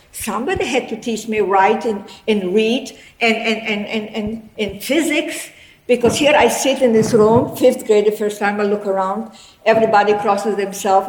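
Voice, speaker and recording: A woman in her eighties whose first language is German, telling her life story to an audience in a rambling, lively way with many restarts. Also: a little high, reverberant, rough recording. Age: 80s. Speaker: guest speaker.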